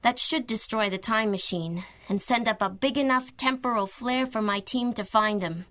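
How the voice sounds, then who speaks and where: A woman's voice: fairly high pitch, normal speed, fairly bright tone, natural voice. A woman playing a confident, playful young time-traveling superhero in a radio drama, earnest with teasing asides.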